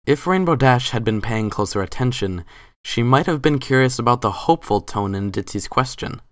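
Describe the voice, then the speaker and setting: A man's voice: mid-range voice, animated, moderate pace, no echo, clean studio sound. A man giving a scripted dramatic reading as the narrator of a story.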